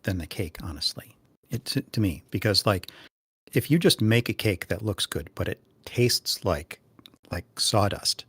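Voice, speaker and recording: Low-pitched man explaining casually, dry sound. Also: expressive, a little slow, breathy edge, no room sound. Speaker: panelist.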